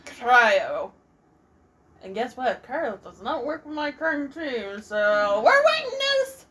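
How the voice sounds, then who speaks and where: A woman's voice: sits high, sing-song, slow pace, bright, forceful tone. A woman giving casual let's play commentary, with muttered, exasperated asides as she plays. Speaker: gamer, let's play host.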